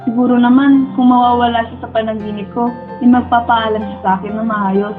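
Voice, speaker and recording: A woman's voice: a little high, flat delivery, fairly quick, close to an everyday voice. A woman with a Filipino accent playing a wistful, lovestruck young student who narrates her dreams in a radio drama. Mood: sad, worried. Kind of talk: first-person radio drama narration and dialogue. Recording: dull lo-fi audio, music bed. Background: background music.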